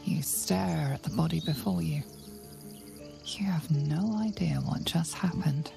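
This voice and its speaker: An actress playing a sly, sardonic narrator in a dark fantasy game, speaking in dramatic second person. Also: female voice, sits low, unhurried, vocal fry, music in the background, heavily acted. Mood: sly, ominous.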